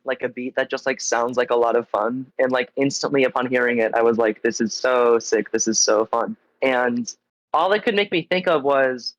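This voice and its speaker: A man's voice: mid-range voice, a bit bright, no echo. Congested man in dull-sounding casual chat. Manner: informal, meandering, heavy use of like. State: getting over a cold, congested. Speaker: interview guest, musician in a band. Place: live online stream.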